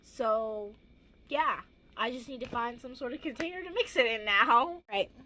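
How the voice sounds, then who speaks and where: Hoarse, chatty teenage girl. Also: a little high, normal speed, sharp tone. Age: teens. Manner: chatty, self-deprecating, rambling asides. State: hoarse, gravelly voice. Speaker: craft vlogger. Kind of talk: casual crafting vlog.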